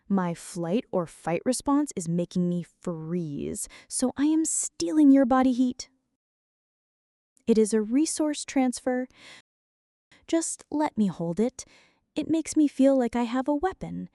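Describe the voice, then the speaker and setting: A woman's voice: a little high, mellow, no room sound, studio quality. A woman acting a jumpy, flirty, dramatic friend in an ASMR roleplay, talking to the listener in a teasing, mock-indignant way. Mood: indignant, clingy.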